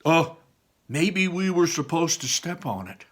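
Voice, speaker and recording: A man's voice: on the high side, animated, unhurried, slightly rough, voice pushed up. A man voicing a hearty young older brother in a storybook adventure, urgent and theatrical. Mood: realizing.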